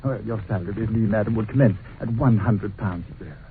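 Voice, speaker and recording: An actor playing a jovial, scheming, stout middle-aged employer who flatters and fusses, in an old radio drama on a tinny recording with faint hiss. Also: male voice, lowish voice, a little fast, natural voice. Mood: gushing. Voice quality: effusive, fussy, flattering. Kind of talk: 1940s radio acting.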